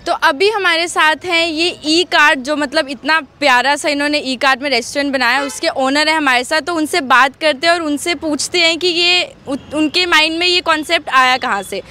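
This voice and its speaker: High, bright young woman presenter. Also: normal speed, hardly any pauses. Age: 20s. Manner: chatty, enthusiastic, uses English words in Hindi. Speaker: host and interviewer. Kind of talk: presenter piece to camera and street food interview. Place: outdoors by a roadside food cart. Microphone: handheld microphone. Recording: outdoor street noise with traffic.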